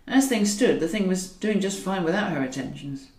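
Female audiobook reader for comic fiction: dry irony, animated pitch movement, recorded roomy and slightly distant. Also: normal speed. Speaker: author reading own novel. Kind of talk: scripted narration of a comic novel. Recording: roomy echo, distant from mic.

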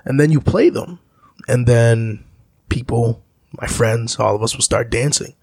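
Gravelly, husky man telling stories. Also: medium pitch, a little slow, darkish tone, dry recording. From Zambia. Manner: rambling, reflective storytelling. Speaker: musician guest. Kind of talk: casual interview talk.

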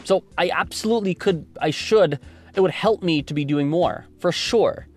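A man's voice: on the high side, normal speed, darkish tone, faint music behind. Rambling young Canadian man podcasting. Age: 20s. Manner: rambling, thinking aloud with many restarts. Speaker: podcast host. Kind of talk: solo podcast monologue.

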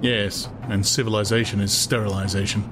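A man's voice: fairly low pitch, steady tone, a little fast, music in the background, natural voice. A man voicing a coolly unruffled, ironic man with a philosophical, lecturing manner in an audiobook. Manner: philosophical, feigned carelessness, didactic.

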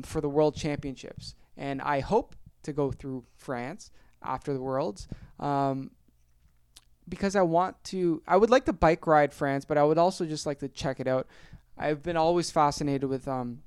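Chatty Canadian man, smooth dry voice. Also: fairly high pitch, dry recording. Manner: chatty, rambling, repeats phrases, many fillers. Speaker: podcast host. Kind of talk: casual solo podcast rambling.

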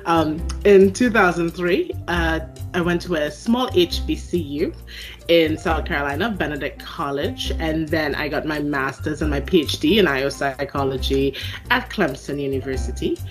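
Guest, female, Trinidadian accent, low-pitched, articulate and good-humored, faint music behind. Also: normal speed. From Trinidad and Tobago. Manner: articulate, laughs easily. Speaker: guest, people analytics expert. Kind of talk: interview answers.

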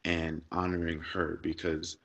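A man's voice: sits low, monotone, unhurried, fairly bright tone, no room sound, highs cut off. A man telling a personal story in an interview, earnest and reflective, growing emotional and repeating words when moved. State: emotional, near tears. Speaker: guest speaker.

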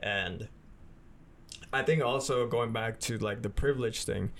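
A man's voice: medium pitch, unhurried, fairly bright tone, slight background noise. A young man from Mexico, co-hosting a casual podcast and telling chatty anecdotes. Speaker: podcast co-host.

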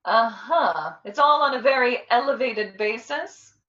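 Distant muffled radio sound, witty wife, arch, teasing, high-pitched. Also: female voice, lively intonation, unhurried, a bit bright. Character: teasing, sharp-witted detective's wife. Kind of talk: 1940s radio drama acting. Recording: dull, distant old radio recording.

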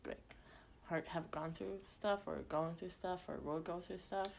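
A woman's voice: fairly low pitch, steady tone, a little slow, vocal fry, a little hiss or hum. A young woman from Sweden co-hosting a podcast, chatting casually with lots of fillers and a frank, swearing manner. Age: young adult. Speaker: podcast co-host. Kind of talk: casual chat.

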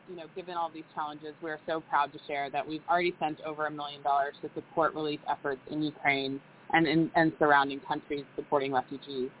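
A woman giving a measured update on a podcast, often repeating words as she rephrases. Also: sits low. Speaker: charity vice president. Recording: tinny phone-like line with faint hiss.

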